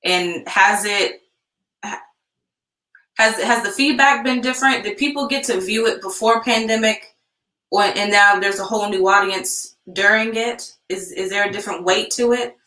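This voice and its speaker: Bright-voiced woman hosting, echoey room. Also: medium pitch, moderate pace. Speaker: host, moderator. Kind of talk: casual live Q&A moderating. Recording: roomy, echoing sound.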